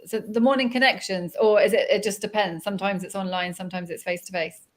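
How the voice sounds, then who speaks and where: A woman's voice: mid-range voice, fairly even intonation, fast talker. A woman hosting an interview in casual chat, encouraging her guest and affirming what she hears. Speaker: interviewer, host.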